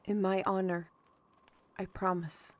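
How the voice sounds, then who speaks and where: A woman playing a courteous, steady searcher in a fantasy audio drama, speaking in a measured, formal way. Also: mid-range voice, slight background noise, lightly acted. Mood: solemn. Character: courteous, steady searcher pledging honor, folksy turns of phrase.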